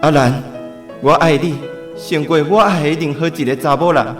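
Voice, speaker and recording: An actor with a Taiwanese accent playing a smooth-talking, theatrical master film narrator in a radio drama. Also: male voice, fairly high pitch, moderate pace, soft background music, natural voice. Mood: ardent, theatrical. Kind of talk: radio drama acting, live film dubbing.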